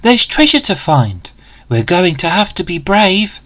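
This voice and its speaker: An eager young boy adventurer from a children's storybook, acted out by a man. The voice is pitched up high and exclaims boldly, full of excitement and pluck. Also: a little slow, dry recording, exaggerated voice.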